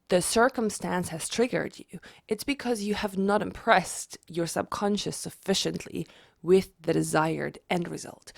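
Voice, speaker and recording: Raspy woman with a slight cold. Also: on the low side, no echo, clean studio sound. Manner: chatty, repeats herself with restarts. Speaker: manifestation vlogger. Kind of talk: casual explanatory vlog.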